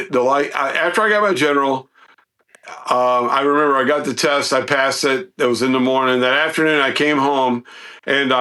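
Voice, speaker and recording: Bright-voiced man telling rambling, folksy stories. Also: mid-range voice. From United States, Southeastern Virginia. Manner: folksy, rambling, animated storytelling. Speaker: podcast guest, radio hobbyist. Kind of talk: casual chat, anecdotes about a hobby.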